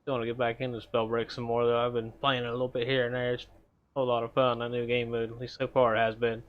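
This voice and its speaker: A man streaming a game and chatting casually with viewers, relaxed and rambling, chuckling often. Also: mid-range voice, quick pace, phone-quality sound. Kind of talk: casual chat while gaming.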